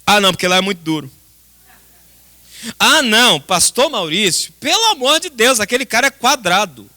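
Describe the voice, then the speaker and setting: A Brazilian man preaches an impassioned sermon to his congregation. His voice sits extremely high for a man and is very bright and tense. He speaks emphatically and dramatically, repeating phrases and addressing his listeners directly. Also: big pitch swings, normal speed. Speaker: pastor preaching. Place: church service.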